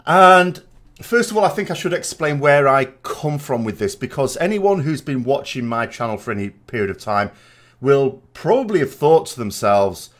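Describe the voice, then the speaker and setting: A man's voice: medium pitch, animated, moderate pace, fairly bright tone. A man hosting a gaming channel in a casual, conversational podcast style, rambling and digressive, with emphatic, opinionated delivery.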